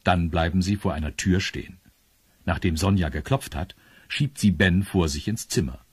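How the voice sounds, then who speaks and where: A man with a husky voice reading scripted narration for a children's audio story. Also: sits low, moderate pace, no echo. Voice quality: husky, raspy. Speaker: narrator of a children's radio play.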